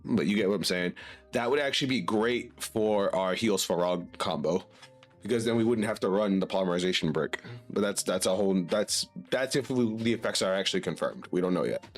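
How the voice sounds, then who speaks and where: A man's voice: on the low side, expressive, normal speed, soft background music. A man streaming casually to his chat while congested and sick, laid-back and swearing now and then.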